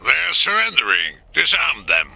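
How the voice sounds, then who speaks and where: An actor playing a cautious, firm army commander in a war game, gravelly, husky and clipped. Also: male voice, medium pitch, huge pitch swings, unhurried, bright, forceful tone, dry recording, full character voice. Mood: grim. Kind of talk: dramatic military game acting.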